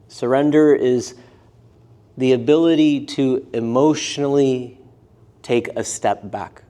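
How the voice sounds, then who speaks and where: Unhurried man guiding a yoga class. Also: mid-range voice. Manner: unhurried, gentle phrasing in short pieces with pauses. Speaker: yoga and meditation teacher. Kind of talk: guided meditation class instruction. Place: live class in a yoga studio.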